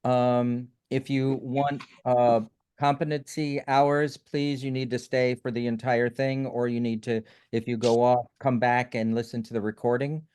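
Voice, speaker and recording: A man hosts an online session with a smooth, resonant voice. His tone is mellow and relaxed as he reads notes and an introduction, pausing on small fillers. He is heard through a video-call mic. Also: medium pitch. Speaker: webinar host. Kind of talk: housekeeping notes and speaker introduction.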